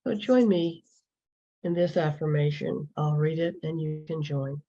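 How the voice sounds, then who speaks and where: Give a woman's voice with a creaky, husky edge and a soft, dark tone. She reads devotional verses and affirmations to a congregation, phrasing each line with measured care. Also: low voice, highs cut off. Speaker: congregation member reading a service address. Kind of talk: devotional reading, poem and affirmation.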